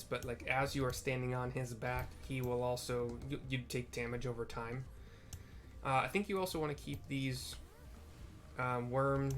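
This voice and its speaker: A man commentates on a video game in a casual, unscripted way, with a medium-pitched voice. He thinks aloud as he goes, rambling through explanations and often rephrasing what he means. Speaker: gameplay commentator.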